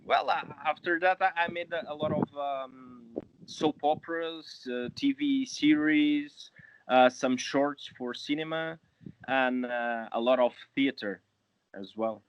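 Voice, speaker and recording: A man in his late twenties with a Portuguese accent, speaking English as a second language in a halting, casual way over a video call. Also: mid-range voice, fairly even intonation, smooth, no room sound. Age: 20s. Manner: halting, many fillers and restarts. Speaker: guest actor. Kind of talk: casual interview. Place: small room at home, indoors. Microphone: video call webcam. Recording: dull video call audio, dry.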